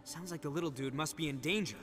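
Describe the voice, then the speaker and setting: An actor playing a brash, easygoing warrior in a video game, with a casual, breezy manner. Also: male voice, fairly high pitch, fast, a bit bright, faint music behind, close to an everyday voice.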